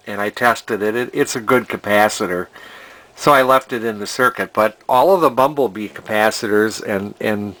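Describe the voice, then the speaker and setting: Low-voiced older man, unhurried rambling. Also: a bit bright, low-quality recording. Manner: hesitant, rambling, thinking aloud. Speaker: hobbyist vintage TV restorer. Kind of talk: casual unscripted repair narration.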